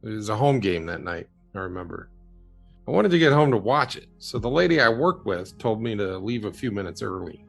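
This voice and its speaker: Male actor as haunted witness, creaky husky voice, mid pitch, halting, earnest, radio drama monologue. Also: big pitch swings, faint music behind, full character voice.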